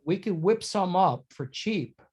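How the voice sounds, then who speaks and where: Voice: medium pitch, lively intonation, measured pace, darkish tone, husky. A panelist talking casually in a group discussion, chipping in with informal explanations.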